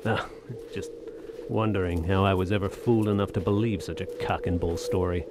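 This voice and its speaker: A wry soldier of fortune played by a male actor in an adventure radio play. He has a low voice and speaks in a measured, literary way, like a seasoned traveler recounting his tale. Music plays clearly behind him. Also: steady tone, close to an everyday voice. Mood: dismissive, weary. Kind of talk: dramatic audio drama narration and dialogue.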